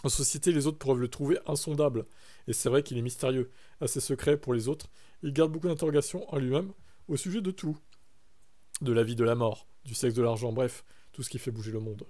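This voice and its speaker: A man's voice: mid-range voice, steady tone, a little fast, dark tone. A young man from France chatting casually on a live stream, reacting to his chat and reading text aloud.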